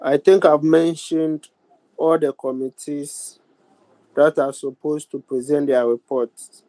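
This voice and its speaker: A man with a Ghanaian accent chairing a youth parliament sitting in a formal, deliberate, halting way on a video call. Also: a little high, fairly even intonation, warm tone, dry recording, low-quality recording. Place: virtual meeting over video call.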